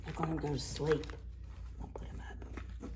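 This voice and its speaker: Children's librarian, woman, slow and lively story time read-aloud, faint room hiss. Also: deep voice. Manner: animated, sing-song, slips into soft whispers.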